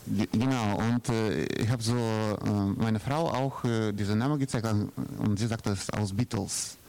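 A man conducting an unscripted interview, asking questions haltingly as he searches for words. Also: fairly low pitch, steady tone, gravelly, a little mellow, poor audio. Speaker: radio interviewer.

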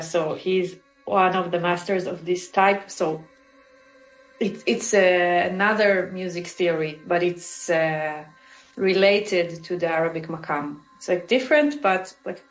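A woman, answering interview questions thoughtfully and searching for her words. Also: lowish voice. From Israel. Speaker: musician guest. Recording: roomy with faint music.

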